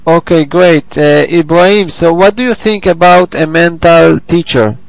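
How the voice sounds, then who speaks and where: A man who is not a native English speaker, teaching informally on a group call in a halting, repetitive way as he searches for words. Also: fairly high pitch, creaky, no echo, poor audio. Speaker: host and teacher of an online English class. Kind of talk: informal lesson on a conference call.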